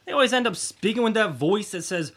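An easygoing dad played by a man in a comic audio drama. His delivery is casual and dryly joking, with lively rises and falls in pitch. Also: fairly quick, breathy edge, heavily acted. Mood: wry. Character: easygoing, wisecracking husband and father. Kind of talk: scripted comedy audio drama.

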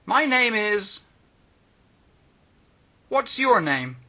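Slow bright-voiced man reading phrases. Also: sits high, sing-song. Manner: reads each phrase slowly with pauses. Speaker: language teacher.

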